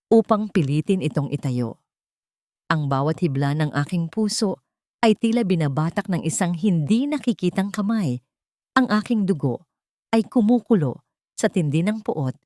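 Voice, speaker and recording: A woman's voice: low voice, warm tone, no echo, studio quality. A woman reading a dramatic first-person story as a scripted narrator.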